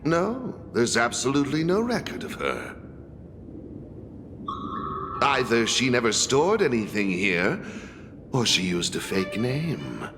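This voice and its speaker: A man's voice: medium pitch, huge pitch swings, takes it slowly, long pauses, exaggerated voice. A man voicing a suave, shrewd, well-connected tavern figure in a video game, talking in a knowing, theatrical way. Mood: knowing, measured. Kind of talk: English video game voice acting. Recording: music playing behind the voice.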